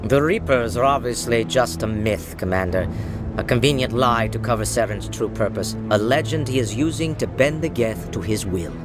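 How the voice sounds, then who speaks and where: A cold, aloof councilor, voiced by a performer, who is dismissive and slow to speak. Game music plays behind. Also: mid-range voice, lightly acted.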